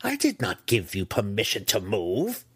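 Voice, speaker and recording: A man voicing an imperious, scheming, cruel dark elf matriarch in a fantasy audiobook, condescending and wry, drawing out the words. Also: fairly low pitch, huge pitch swings, breathy edge, no echo, heavily acted. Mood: furious shriek. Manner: condescending, wry, drawn-out delivery.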